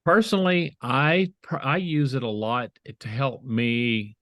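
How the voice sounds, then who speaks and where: A middle-aged man with a Southern American accent, answering interview questions over a video call in a measured way, often restarting his phrases. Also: mid-range voice, fairly even intonation, fairly bright tone, dry recording, studio quality. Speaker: guest, IT leader.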